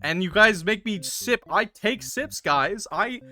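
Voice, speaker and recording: A man chatting and commentating on a livestreamed game in an excitable, playfully combative way, bantering with viewers and swearing freely. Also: high-pitched, a little slow. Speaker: live streamer playing a game. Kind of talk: animated casual livestream chat and game commentary.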